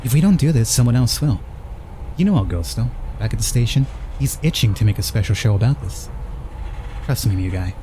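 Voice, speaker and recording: A man's voice: medium pitch, animated, brisk, mellow, slight background noise, pitched above the performer's own voice, natural voice. A man voicing an ambitious, opportunistic female TV reporter in a horror game, in an assertive, matter-of-fact way. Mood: brisk, insistent. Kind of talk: reading game dialogue in character.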